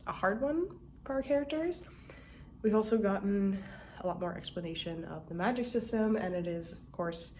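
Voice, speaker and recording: A woman's voice: mid-range voice, a little mellow, slight background noise. Chatty young woman vlogging casually. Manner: chatty, many fillers, trailing asides. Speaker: book vlogger. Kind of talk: casual reading vlog chat.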